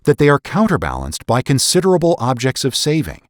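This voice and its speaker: A man narrating a dramatic reading of an old political essay in a formal, measured, emphatic oratorical manner. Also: mid-range voice, huge pitch swings, dry recording, clean studio sound. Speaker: podcast host and narrator.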